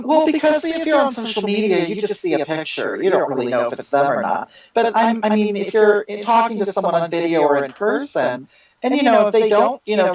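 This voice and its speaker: A performer voicing a self-styled female social justice activist who rambles, repeats words and jumps high in pitch while answering interview questions. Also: muffled recording, natural voice. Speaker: guest being interviewed.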